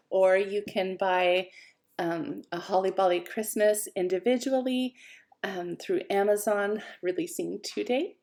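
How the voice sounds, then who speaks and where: A woman reads her own fiction with lively, expressive storytelling. Her voice sits at a middle pitch with a noticeable gravelly fry. She brings an author's personal warmth of delivery to the page. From Canada. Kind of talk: audiobook-style fiction reading.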